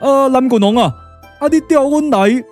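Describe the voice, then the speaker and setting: A man's voice: very high voice, moderate pace, a little mellow, soft background music, voice pushed up. A man voicing a boisterous, wine-loving comic warrior in a puppet radio drama, full of exclamations. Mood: excited, jovial. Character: rowdy, jokey, wine-loving warrior immortal, comic and boastful.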